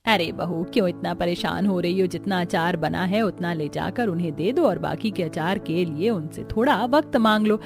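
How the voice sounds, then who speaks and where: A woman's voice: on the low side, normal speed, few pauses, over music, lightly acted. A woman voicing a practical, reassuring older village mother-in-law who gives soothing advice in a Hindi cartoon story.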